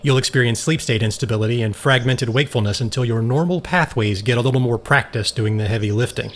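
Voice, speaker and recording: A man's voice: mid-range voice, fast, lightly acted. A man acting in an audio drama as a stern, self-controlled scientist, blunt and clinical in manner. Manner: matter-of-fact, firm, clinical. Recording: faint background noise.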